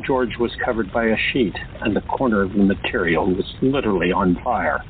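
A man narrating an audio drama in a steady, dramatic storytelling manner. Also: lowish voice, brisk, phone-quality sound, a little hiss or hum.

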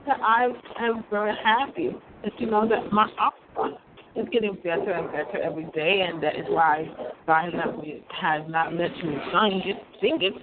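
A hoarse speaker with a cold, hosting an online radio show in a rambling monologue that repeats phrases and breaks into laughs. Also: mid-range voice, moderate pace. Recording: phone-like line with loud crowd noise.

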